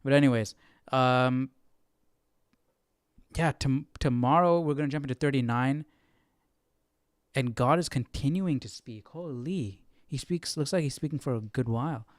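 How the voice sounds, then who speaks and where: A man's voice: medium pitch, unhurried, pauses often, dry recording, studio quality. A man hosting a livestreamed study session, chatting informally with exclamations and repeating himself for emphasis. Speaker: Bible study livestream host. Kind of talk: casual chat commentary.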